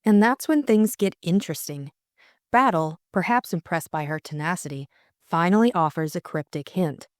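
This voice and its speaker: Lowish-voiced woman narrating an audiobook. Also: lively intonation, moderate pace, no room sound, studio quality. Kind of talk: scripted storytelling narration.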